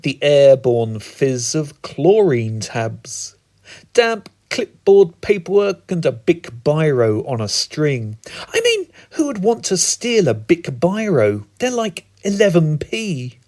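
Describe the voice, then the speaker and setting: A British man reciting comic spoken-word poetry in a dramatic, whimsical, deliberate manner. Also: a little high, sing-song, a little mellow. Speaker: performance poet.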